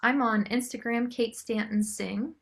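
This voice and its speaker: A woman's voice: fairly high pitch, steady tone, measured pace, vocal fry. A middle-aged American woman chatting casually as a singer-songwriter being interviewed.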